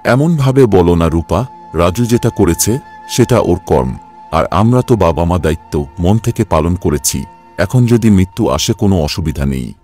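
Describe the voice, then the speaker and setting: A man voicing an ailing, forgiving village father in a cartoon, speaking with gentle resignation. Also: low voice, animated, moderate pace, soft background music. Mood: resigned, forgiving.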